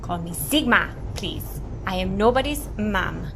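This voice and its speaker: A woman's voice: medium pitch, expressive, unhurried, gravelly, a little hiss or hum. An actress playing a busy, brusque woman engineer and inventor with a German accent and clipped delivery in an audio drama. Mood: busy, brisk.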